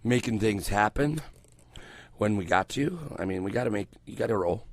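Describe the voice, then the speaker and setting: An older man hosting morning radio, his voice hoarse and croaky as he gets over a cold, rambling loosely and swearing freely into a headset mic in a small studio. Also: on the low side, animated, normal speed, no room sound. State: recovering from a cold, voice coming back. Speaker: radio host. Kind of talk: casual morning radio chat. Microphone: headset mic around the ear.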